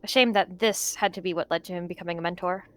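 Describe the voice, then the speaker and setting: A woman softly narrating a cat roleplay story. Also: on the low side, steady tone, fast. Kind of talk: roleplay storytelling with character voices.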